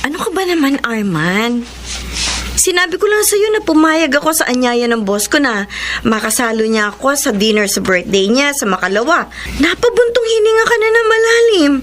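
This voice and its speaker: Young bride-to-be, high, emotional, faint hiss behind. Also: female voice, sing-song, moderate pace. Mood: upset. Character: young bride-to-be, earnest and proud. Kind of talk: classic radio drama acting.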